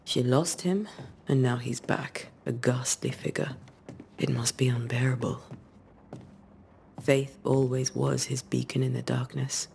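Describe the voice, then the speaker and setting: Wistful teasing lady ghost hunter, video game dialogue, croaky edge, measured delivery. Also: rumbling low, fairly even intonation, darkish tone, slight background noise, heavily acted. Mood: sorrowful.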